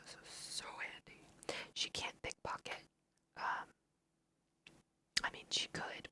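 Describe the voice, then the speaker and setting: A woman whispering casual, chatty ASMR commentary over a video game, thinking aloud in a breathy voice. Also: pushed and bright. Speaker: host of an ASMR let's play.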